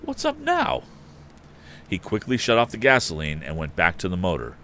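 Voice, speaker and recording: A man's voice: low voice, lively intonation, fast, bright, forceful tone, slight background noise. A man hosting a story stream, reading a book expressively and chatting casually between passages. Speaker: storytelling stream host, reader. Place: indoor live stream.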